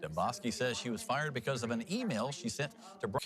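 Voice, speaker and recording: A man's voice: mid-range voice, lively intonation, brisk, a bit bright. A man working as a news anchor, presenting headlines and slipping into casual, jokey banter. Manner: jokey, conversational.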